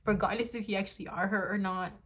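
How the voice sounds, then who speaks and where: A woman's voice: medium pitch, fairly even intonation, fast talker, fairly bright tone. A woman in her twenties giving a casual tarot reading to camera, chatty and blunt, swearing freely and laughing often, recorded on a phone. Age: 20s. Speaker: tarot reader.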